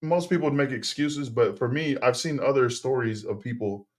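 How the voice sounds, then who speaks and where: A middle-aged man whose first tongue is Tongan speaks at an ordinary mid pitch. He talks like a relaxed podcast guest who circles back and restarts sentences. The tone stays easy and conversational. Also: normal speed. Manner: relaxed, rambling, many fillers and restarts. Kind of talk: casual interview storytelling.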